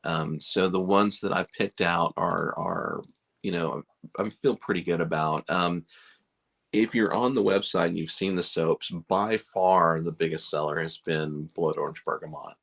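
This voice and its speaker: A man's voice: sits low, fairly even intonation, measured pace, gravelly, fairly bright tone. A middle-aged man from Chicago, a beard company owner, chatting casually on a livestream in a rambling, self-deprecating way with lots of fillers.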